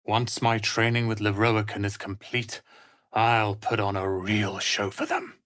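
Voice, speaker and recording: A man's voice: fairly low pitch, flat delivery, measured pace, fairly bright tone, no room sound, clean studio sound. A man playing a proud, prickly young apprentice wizard in a video game, speaking in a haughty, clipped and articulate way. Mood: proud, determined. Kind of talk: dramatic video game voice acting.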